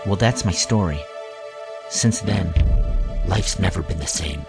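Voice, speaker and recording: A man reading scripted narration in a measured storytelling manner. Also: deep voice. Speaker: narrator and writer. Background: music bed.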